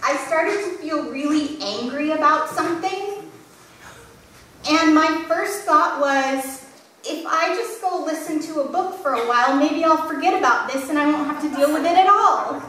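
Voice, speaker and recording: High bright woman preaching in echoey church. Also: normal speed, distant mic, slight background noise. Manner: candid, self-deprecating storytelling. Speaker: pastor. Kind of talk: sermon. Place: church sanctuary.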